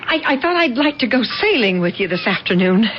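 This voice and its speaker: An actress playing a contrite, yearning wife who speaks in a hesitant, faltering way, in 1980s radio drama style. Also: female voice, on the high side, sing-song, fairly quick. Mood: contrite, wistful. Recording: dull old radio broadcast, faint noise.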